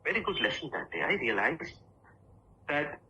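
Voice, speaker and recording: A man delivering a rehearsed humorous story as a contest speaker, theatrical, with dramatic pauses and emphasis. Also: on the high side, very animated, pushed and bright, a little hiss or hum, poor audio.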